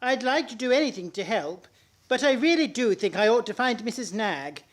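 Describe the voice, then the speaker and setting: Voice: mid-range voice, animated, normal speed, lightly acted. A performer playing a timid, henpecked husband and father in a comic radio play, fretful and reluctant. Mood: meek, reluctant. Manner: fretful, hesitant, meek.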